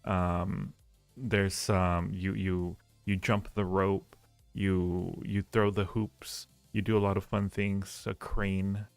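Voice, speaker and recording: A man's voice: low voice, level tone, takes it slowly, vocal fry. A man hosting a livestream, chatting casually with viewers in a rambling way, often repeating phrases as he goes. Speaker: livestream host. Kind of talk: casual chat with live stream viewers, rating ideas. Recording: roomy echo, faint music behind. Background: faint music.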